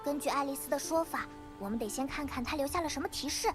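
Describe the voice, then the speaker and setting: Tiny squeaky childlike fairy girl played by a woman. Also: fast, over music, lightly acted. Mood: eager, curious. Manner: cutesy, expressive. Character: tiny childlike female fairy companion, eager, chatty. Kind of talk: anime game voice acting. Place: studio game dialogue with music behind.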